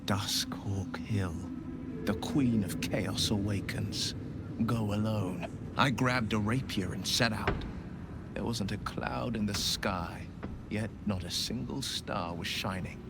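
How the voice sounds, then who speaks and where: An actor playing an earnest, solemn young nobleman adventurer bound by a devil's pact, telling things in a measured way for a video game. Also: lowish voice, vocal fry, loud music behind the voice. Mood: solemn recollection. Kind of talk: video game dialogue acting.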